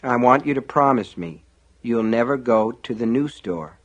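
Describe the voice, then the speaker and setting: A man's voice: mid-range voice, lively intonation, normal speed, close to an everyday voice. A man voicing a despairing boy, an older brother in a story, with a solemn, insistent and detached manner. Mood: grim, detached.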